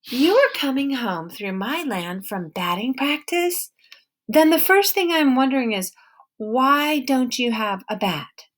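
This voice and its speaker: A woman reading the part of an eccentric, sharp-tongued middle-aged woman with a wooden leg, who probes and mocks as she speaks. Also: high voice, sing-song, a little slow, pitched above the performer's own voice, heavily acted. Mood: probing. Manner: mocking, probing, sharp-tongued.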